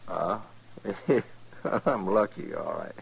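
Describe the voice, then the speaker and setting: A man playing a sly, insinuating frontier gambler in a 1950s radio western, with drawn-out folksy speech and a husky voice. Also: sits low, huge pitch swings, full character voice. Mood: oily, persuasive. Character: smooth, scheming gambler, a stranger in town. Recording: dull 1950s radio recording.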